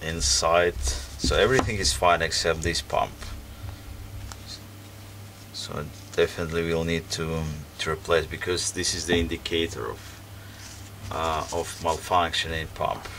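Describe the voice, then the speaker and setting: Low slow gravelly guy, fixing stuff on a bench, lots of ums, mic kinda far off with background noise. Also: little pitch movement, a bit bright. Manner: hesitant, many ums and restarts while working. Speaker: repair video host. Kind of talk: casual hands-on repair commentary. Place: workbench, indoors.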